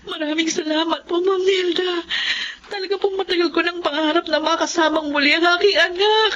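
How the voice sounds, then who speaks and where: Humble household helper and devoted mother, high voice, emotional melodrama delivery, muffled noisy audio. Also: steady tone, brisk, a bit bright, soft background music. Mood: surprised, grateful. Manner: deferential, emotional. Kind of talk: Filipino radio-style melodrama acting. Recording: dull lo-fi audio with clear noise.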